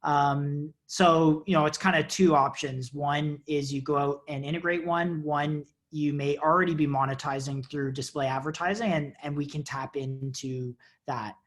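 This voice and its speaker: A man's voice: on the high side, fairly even intonation, normal speed, hardly any pauses, a bit bright. A man in his thirties, answering community questions on a video call in an enthusiastic, explanatory way, with frequent uhs. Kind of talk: casual video call interview. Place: indoor office with banner. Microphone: earphone headset on video call.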